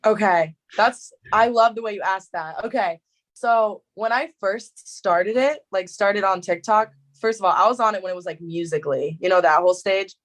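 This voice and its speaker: Young jersey girl, chatty, says like a lot, mid pitch. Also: normal speed. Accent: New Jersey. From New Jersey. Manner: chatty, lots of "like" fillers. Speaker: guest, social media creator. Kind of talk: casual interview chat.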